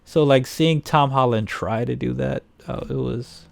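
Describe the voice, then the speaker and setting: A man's voice: medium pitch, measured pace, gravelly. A man co-hosting a casual film review chat, blunt and joking, with a lot of swearing. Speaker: podcast co-host reviewing films.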